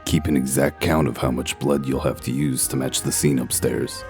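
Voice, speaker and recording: Very deep, gruff detective. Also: male voice, fairly even intonation, normal speed, darkish tone, faint music behind. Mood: gruff, commanding. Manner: gruff, sardonic, curt. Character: gruff wolf detective in human guise, blunt, commanding. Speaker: investigator. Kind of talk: comic dub character acting.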